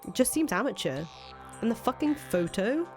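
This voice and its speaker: A woman's voice: a little high, very animated, moderate pace, darkish tone. A woman playing a cynical, foul-mouthed ousted rebel leader in a visual novel, thinking aloud in casual, profane speech. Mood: suspicious, agitated. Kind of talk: acted reading of visual novel dialogue. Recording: music under the voice.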